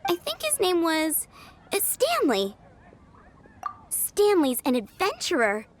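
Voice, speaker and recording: Very high, dreamy little girl. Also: huge pitch swings, takes it slowly, slight background noise, full character voice. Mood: earnest. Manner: childlike, earnest, airy. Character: sweet, dreamy, guileless little flower-shop girl. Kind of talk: video game voice acting. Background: music.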